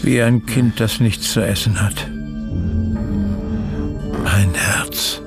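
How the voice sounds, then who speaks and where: An actor in a radio play playing a fevered, poetic revolutionary who speaks in a breathy, incantatory monologue. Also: male voice, slow pace. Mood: anguished, poetic. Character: brooding revolutionary man, fevered, poetic, grandiose visionary. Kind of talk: German radio play acting, literary monologue. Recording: loud music and train noise behind. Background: music, train rumble.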